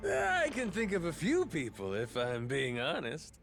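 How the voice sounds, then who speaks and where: An actor playing a cocky, irreverent pirate captain in a video game, with a slangy, mocking, street-tough manner. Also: male voice, on the high side, huge pitch swings, measured pace, a bit bright, heavily acted. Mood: defiant. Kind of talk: dramatic video game voice acting. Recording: game music behind.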